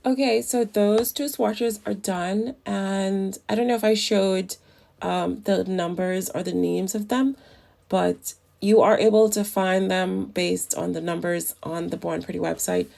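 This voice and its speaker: A woman's voice: medium pitch, steady tone, a little slow, gravelly, darkish tone, soft background music. A woman narrating a casual nail tutorial in a chatty, enthusiastic way, her voice a little off as it recovers from the flu. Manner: chatty, enthusiastic about products.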